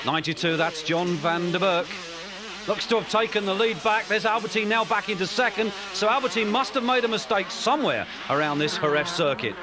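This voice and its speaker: A man commentating a motocross race in an energetic way that picks up as the action builds. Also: sits high, fairly quick, noisy background. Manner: energetic, rising excitement on race calls.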